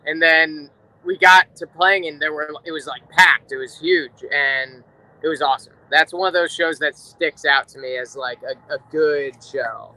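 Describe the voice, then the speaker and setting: Very bright-voiced man from New Jersey. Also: on the high side, moderate pace, rough recording. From New Jersey, United States. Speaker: guest, rock drummer. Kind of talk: casual chat.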